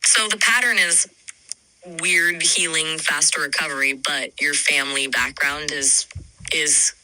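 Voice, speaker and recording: Very bright clear woman's voice, calm and summarizing, asks gentle follow-ups, dry sound. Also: sits low, unhurried, dry recording, low-quality recording. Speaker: AI chat partner. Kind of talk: conversational questioning.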